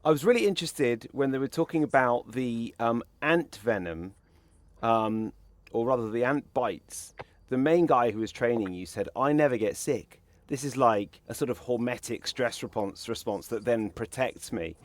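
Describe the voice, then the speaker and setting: A man's voice: medium pitch, moderate pace, dry recording. A man hosting a podcast interview, easygoing, asking open questions and giving brief agreement while his guest talks. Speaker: podcast host. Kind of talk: casual interview conversation.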